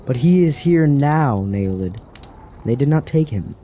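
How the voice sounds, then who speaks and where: An actor playing a sly, teasing dreamer in a fantasy radio drama, with a sardonic, insinuating manner. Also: male voice, mid-range voice, expressive, a little slow, warm tone, a little hiss or hum, natural voice. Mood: musing. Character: sly, teasing dreamer who needles the warrior prince.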